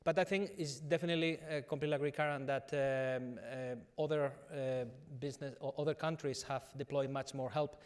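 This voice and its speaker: A man's voice: mid-range voice, fairly even intonation, brisk, hardly any pauses, clean tone. A middle-aged man with a Spanish accent, speaking earnestly at a business panel with many fillers and restarts. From Spain. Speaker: company executive on a conference panel.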